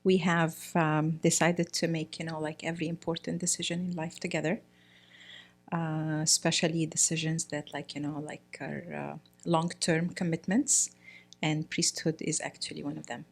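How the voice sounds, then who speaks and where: A middle-aged woman from Egypt talks in a relaxed interview. Her voice sits fairly low, and she keeps stopping to search for words with lots of "uh" and "you know." She speaks plainly, in an unhurried, conversational way. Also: vocal fry. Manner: hesitant, many fillers and restarts. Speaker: guest, priest's wife.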